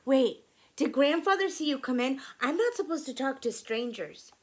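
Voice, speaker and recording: A woman voicing an upset, quick-tempered little boy with an emphatic, wailing delivery in a storybook reading. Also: high-pitched, animated, pitched above the performer's own voice. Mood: wary, upset.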